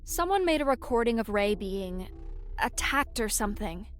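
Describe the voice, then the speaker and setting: An actress playing a curious, reasonable podcast co-host, inquisitive and explanatory in a natural conversational audio drama style. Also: female voice, mid-range voice, sing-song, moderate pace, soft background music, natural voice.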